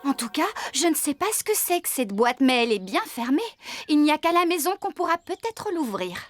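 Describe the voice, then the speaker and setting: A woman's voice: high-pitched, sing-song, brisk. A woman playing a cheerful, practical farmer in a French-dubbed children's cartoon. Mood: brisk, cheerful. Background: music.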